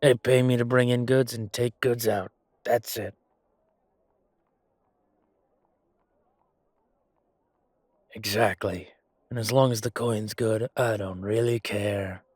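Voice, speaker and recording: A man's voice: medium pitch, fairly even intonation, normal speed, darkish tone, no room sound, studio quality, close to an everyday voice. A man voicing a chatty, self-satisfied merchant in a fantasy audio roleplay, with a casual, drawling, hesitant way of talking. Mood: matter-of-fact. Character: male merchant, chatty, self-satisfied, grumbling royal supplier.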